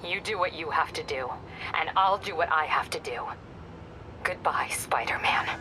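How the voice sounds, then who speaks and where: Lowish, cold female vigilante actress. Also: background noise, close to an everyday voice. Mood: grim, resigned. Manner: cold, deliberate. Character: hardened, defiant female cop turned vigilante. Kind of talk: video game voice acting.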